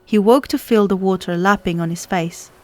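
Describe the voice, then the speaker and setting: A woman's voice: medium pitch, moderate pace, dry recording. A woman reading a children's story aloud as scripted narration, as an audiobook narrator does. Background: music.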